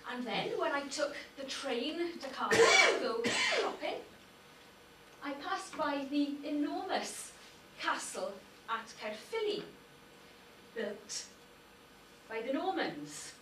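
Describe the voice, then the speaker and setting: Welsh woman, lecturer, measured delivery, room hum behind, distant echoey recording. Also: high-pitched, big pitch swings, bright, forceful tone. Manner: measured, lists names with careful emphasis. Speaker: university lecturer. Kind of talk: public lecture. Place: darkened lecture hall. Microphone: far room recording. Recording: echoey hall recorded from afar.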